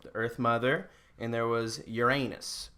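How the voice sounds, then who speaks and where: A man's voice: mid-range voice, steady tone, unhurried, sharp tone. A man hosting a podcast, casually retelling myths in a joking, irreverent and slangy way. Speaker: podcast host.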